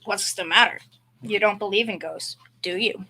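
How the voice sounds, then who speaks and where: A woman's voice: medium pitch, normal speed, sharp tone, breathy edge, poor audio. A young female performer playing a bumbling, confidently clueless working-class dad in a school old-time radio comedy, with folksy bad grammar. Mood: blustering, confident. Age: young teen.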